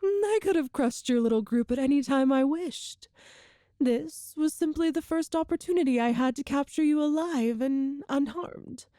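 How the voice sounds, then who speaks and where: A woman playing a cruel, seductive empress in a dramatic ASMR roleplay, with haughty, theatrical, deliberate phrasing. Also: high voice, mellow, no room sound, clean studio sound, lightly acted. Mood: taunting, coaxing. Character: cruel imperious empress, seductive, menacing tyrant.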